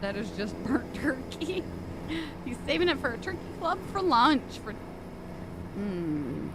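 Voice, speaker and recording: A woman's voice: high voice, very animated, a little slow, background noise. A woman co-hosting a live stream, chatting casually and reading chat messages aloud with frequent laughs. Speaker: stream co-host.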